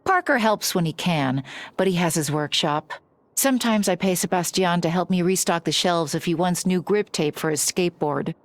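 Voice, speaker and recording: A woman's voice: fairly low pitch, moderate pace, no echo, close to an everyday voice. A woman playing a hard-working, matter-of-fact shopkeeper who is resigned to running the place alone, in a video game.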